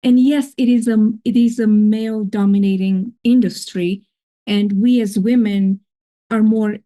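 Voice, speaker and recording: A woman's voice: medium pitch, fairly even intonation, unhurried, mellow. A woman with a foreign accent, a podcast guest, telling her own story in an earnest, reflective way. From grew up in another country. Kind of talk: casual interview talk.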